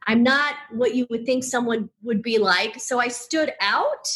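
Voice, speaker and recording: Woman, first language Spanish, upbeat, laughs a lot, chatty, fairly high voice. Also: a little slow, fairly bright tone. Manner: upbeat, chatty, laughs often, many fillers. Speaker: guest, personal branding entrepreneur. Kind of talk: casual interview chat.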